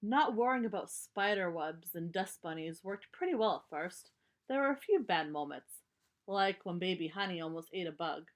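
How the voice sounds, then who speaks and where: Woman reading a children's story, slightly halting. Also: lowish voice, expressive. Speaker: storybook reader. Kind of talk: children's story read-aloud.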